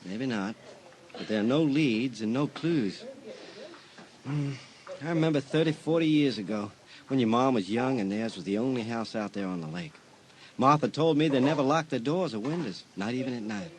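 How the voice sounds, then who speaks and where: Guy doing a worn-out old small-town sheriff, mid-pitch, plain talk. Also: expressive, moderate pace, darkish tone, natural voice. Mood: weary. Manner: tired, plain-spoken. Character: weary older small-town male sheriff.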